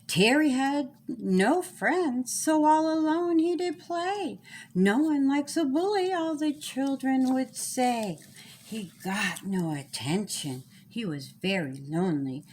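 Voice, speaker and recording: A woman with a fairly high voice reads a rhyming children's story. She takes her time, lilting through the lines and pausing for drama. There is some noise on the recording. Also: expressive, darkish tone. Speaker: author reading own children's story. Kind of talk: children's storybook read-aloud.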